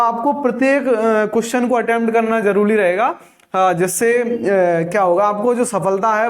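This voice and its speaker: High-voiced man coaching exam aspirants. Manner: addresses viewers as friends, instructive, repeats key numbers. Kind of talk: explanatory exam guidance lecture.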